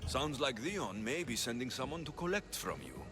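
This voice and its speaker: A blunt, sardonic Greek mercenary. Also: male voice, mid-range voice, wildly swooping pitch, moderate pace. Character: blunt tough male Greek mercenary, dry and pragmatic. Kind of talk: video game cutscene dialogue. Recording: studio voice with game music.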